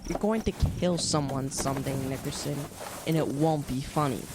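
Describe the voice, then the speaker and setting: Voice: mid-range voice, normal speed, very noisy, natural voice. A young performer playing a blunt, exasperated older brother in an audio drama. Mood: annoyed. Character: Riley, impatient, bossy older brother, a kid.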